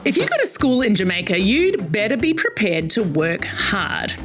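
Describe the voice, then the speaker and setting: A middle-aged woman with an Australian accent, hosting a scripted educational narration for kids in a bright, playful manner. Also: medium pitch, moderate pace, music in the background. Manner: bright, playful, animated storyteller. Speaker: host.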